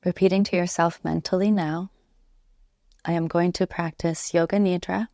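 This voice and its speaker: A woman guiding a relaxation meditation as a yoga nidra instructor, soothing and unhurried, leaving long pauses between gentle instructions. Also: on the low side, fairly even intonation, dry recording, studio quality.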